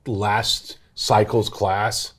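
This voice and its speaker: A man's voice: on the low side, little pitch movement, extremely slow, a bit bright, breathy edge. A man speaking as an interview guest in a casual, emphatic way, often repeating his phrases. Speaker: interview guest, business PAC chair.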